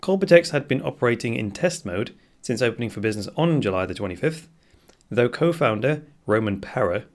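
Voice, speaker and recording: A man hosting a podcast, reading a news article aloud and commenting on it as he goes, thinking out loud and correcting himself. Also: mid-range voice. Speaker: podcast host.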